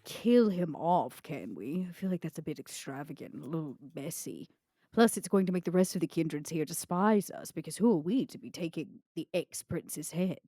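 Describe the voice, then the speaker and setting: A woman playing a haughty, scheming female vampire in a tabletop game, with a dry, sardonic, deliberate delivery. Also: low-pitched, animated, dark tone, no room sound. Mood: sly, calculating.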